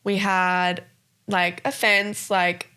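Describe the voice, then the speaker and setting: A woman's voice: fairly low pitch, flat delivery, very slow, bright, forceful tone, clean tone. A woman in her twenties with an Australian accent, from South Africa, Afrikaans her first language, chatting casually as a podcast host and filling her talk with "like". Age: 20s. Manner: chatty, many "like" fillers. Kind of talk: casual chat.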